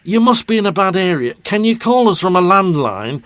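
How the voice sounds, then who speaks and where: An actor playing a tired, edgy local radio traffic presenter in an audio drama, plain-spoken and colloquial. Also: male voice, high-pitched, big pitch swings, a little fast, a bit bright, no echo. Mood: weary, impatient.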